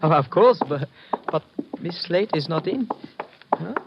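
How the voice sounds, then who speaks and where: An actor playing a fussy, deferential, stammering building caretaker in a 1950s radio drama. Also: male voice, a little high, animated, a little slow. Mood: flustered. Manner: stammering, hesitant. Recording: muffled, noisy old radio recording.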